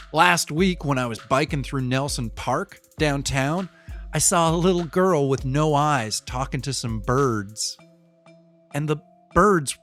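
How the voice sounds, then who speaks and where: An actor playing a young, streetwise city cyclist who talks in a rambling, conspiratorial way with slangy, profane street talk, over a soft music bed. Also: male voice, a little high. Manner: rambling, conspiratorial, shifts between wry and fired-up. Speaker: local bike courier interviewed. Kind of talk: audio drama monologue.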